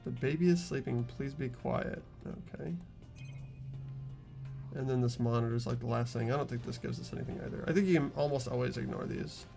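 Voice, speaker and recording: A man's voice: medium pitch, a little fast, creaky, over music. A man hosting a video game playthrough, commenting in an informal, chatty way. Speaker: playthrough host and voice actor. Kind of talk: casual gameplay commentary with dialogue reading.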